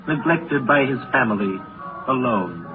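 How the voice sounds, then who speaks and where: An actor playing a solemn, commanding spirit in a 1930s radio drama, speaking in a stately, grave, questioning way. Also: male voice, mid-range voice, lively intonation, a little slow, lightly acted. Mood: grave, probing. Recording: muffled 1930s radio recording, music behind.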